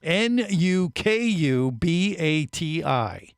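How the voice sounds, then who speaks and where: A man's voice: on the high side, very animated, extremely slow, vocal fry, clean tone, no echo. A man hosting a casual radio interview in a studio, rambling with many fillers and restarts. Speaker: radio show host.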